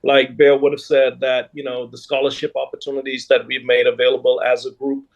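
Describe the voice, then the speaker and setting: A Bahamian man answering conversationally as an interview guest, in a halting, hesitant way. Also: mid-range voice, level tone, highs cut off. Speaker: guest, leader of a Junkanoo group.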